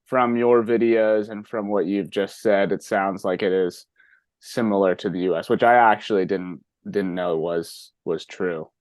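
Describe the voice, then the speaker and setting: A man's voice: on the low side, fairly even intonation, unhurried, darkish tone, no echo. A middle-aged man hosting an interview, asking friendly questions with many false starts. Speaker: podcast host. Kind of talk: casual interview.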